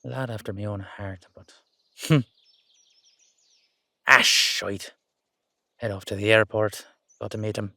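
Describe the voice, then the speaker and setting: A man playing a gruff but fond Irish dullahan in a roleplay audio drama, speaking in a colloquial, hesitant, sweary way. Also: lowish voice, fairly even intonation, takes it slowly, pauses often, bright, forceful tone, no echo, heavily acted. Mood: fond, reassuring.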